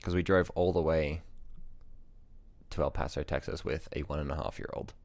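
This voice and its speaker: Deep-voiced relaxed male podcast host. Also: normal speed, gravelly, no echo. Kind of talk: casual chat and storytelling.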